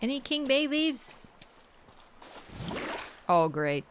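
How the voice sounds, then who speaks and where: Woman, polish speaker, gaming streamer, chatty, animated, faint background noise. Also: sits high, extremely slow. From Canada. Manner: chatty, animated storytelling, talks to chat. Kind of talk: casual chat while playing.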